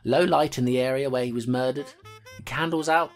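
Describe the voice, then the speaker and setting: A woman's voice: a bass voice, moderate pace, faint music behind. A woman voicing a small clawed creature investigator, telling a grim story in clipped noir style for an audio drama. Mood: brooding, recounting. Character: small clawed creature investigator, streetwise, grimly self-deprecating narrator.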